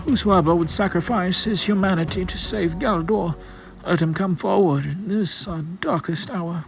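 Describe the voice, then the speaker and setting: Man acting a grave, high-pitched commanding elder leader. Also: moderate pace, creaky, faint music behind. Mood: resolute, sorrowful. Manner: solemn, proclamatory oratory. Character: grave, commanding elder male Prime Director of an alien world. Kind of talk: dramatic comic-book story reading.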